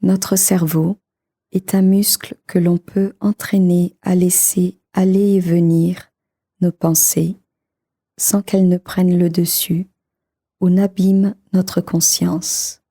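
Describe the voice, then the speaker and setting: Very slow, mellow woman guiding meditation. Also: lowish voice, breathy edge. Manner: calm, soothing, measured. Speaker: meditation guide. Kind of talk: slow guided meditation for sleep.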